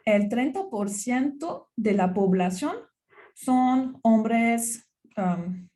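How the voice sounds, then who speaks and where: A woman's voice: fairly high pitch, animated, drawn-out words, dark tone. French-accented woman giving a talk. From France. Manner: hesitant, many fillers and restarts. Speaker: university researcher giving a talk. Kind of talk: conference talk.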